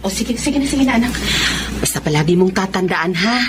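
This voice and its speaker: A woman's voice: mid-range voice, lively intonation, a little fast, close to an everyday voice. A woman playing an anxious, protective and loving mother in an emotional Filipino radio drama. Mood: tender, proud. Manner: emotional, fretful, tender. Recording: noisy, with loud ambience behind.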